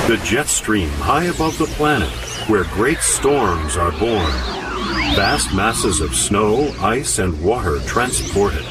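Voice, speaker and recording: An actor playing an unfailingly polite, faintly menacing talking room machine in a radio drama, speaking in a formal, measured, instructive way. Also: male voice, on the low side, recorded from a distance, poor audio. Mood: grandiose. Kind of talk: radio drama acting with nature-documentary grandeur.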